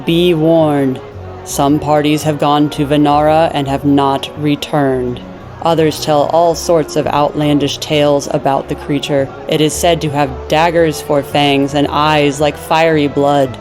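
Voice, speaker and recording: A woman playing an older half-elf nun, giving measured, earnest warnings in a fantasy audio drama. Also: low-pitched, flat delivery. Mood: grave warning. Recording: music behind the voice.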